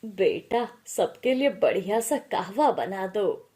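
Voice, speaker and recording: A woman's voice: medium pitch, expressive, takes it slowly, warm tone. A woman voicing a kindly middle-aged mother-in-law in a story, patient and reassuring. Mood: instructing. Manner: patient, instructing. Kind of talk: acted storytelling dialogue.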